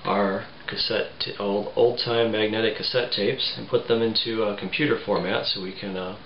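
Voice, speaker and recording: A man in his forties giving a casual step-by-step tutorial in a halting, plain-spoken way. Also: on the low side, steady tone. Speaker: tutorial presenter. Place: small home room, indoors. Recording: roomy, muffled, faint background noise.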